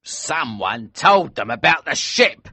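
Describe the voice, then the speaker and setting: A man playing a vengeful smuggler and innkeeper who talks in a cold, measured way. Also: sits low, a bit bright, husky, dry recording, exaggerated voice. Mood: furious. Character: grim, vengeful older male innkeeper and smuggler. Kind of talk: audiobook character voice.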